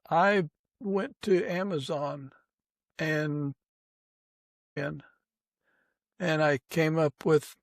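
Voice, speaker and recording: A man hosting a podcast interview in a conversational way, haltingly searching for his words. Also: on the high side, vocal fry, a little mellow, no room sound, studio quality. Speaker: podcast host. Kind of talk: conversational interview with a prayer and sign-off.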